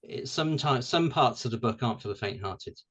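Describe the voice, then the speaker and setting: A man from Wales talks easily about himself as an interview guest. He is young and relaxed, and his speech is full of ums and small restarts. His voice sits at an ordinary middle pitch. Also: fairly quick. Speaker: guest author. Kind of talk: casual interview chat.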